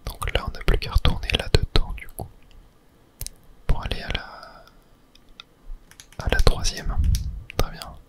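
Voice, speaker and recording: Someone whispering casual, unhurried ASMR game commentary in a breathy, unvoiced voice, close to the microphone. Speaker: host playing a video game.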